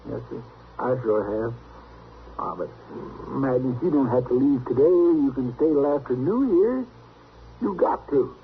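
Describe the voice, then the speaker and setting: An actor playing a fretful, affectionate older brother in a radio western, with a stammering, folksy rural American drawl. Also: male voice, mid-range voice, expressive, unhurried, pauses often, husky, heavily acted. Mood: pleading, fond. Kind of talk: old-time radio western acting. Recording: tinny, hissy old radio recording.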